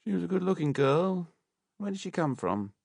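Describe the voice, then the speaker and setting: Man acting an offhand, high-toned parish surgeon. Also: very animated, normal speed, creaky, a little mellow, no room sound, full character voice. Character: detached world-weary male parish surgeon.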